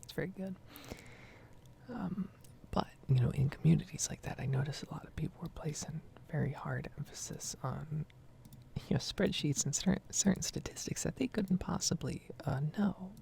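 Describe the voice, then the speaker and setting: Female ASMR gamer, breathy whisper with very heavy fry, casual and rambling, close-miked. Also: deep voice, normal speed, warm tone. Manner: casual, rambling, mild swearing. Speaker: ASMR gaming streamer. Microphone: close microphone.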